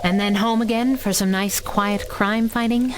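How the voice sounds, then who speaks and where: A woman playing a plucky, wisecracking young crimefighting sidekick in a pulp radio drama, brisk and wry. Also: mid-range voice, noisy background, close to an everyday voice. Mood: subdued, wry. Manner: brisk, wry, excitable in danger.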